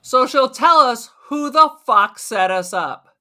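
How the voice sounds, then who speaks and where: A woman's voice: a little high, expressive, slow, sharp tone, full character voice. A woman playing a wary, pragmatic thief in an audio drama, matter-of-fact in her delivery.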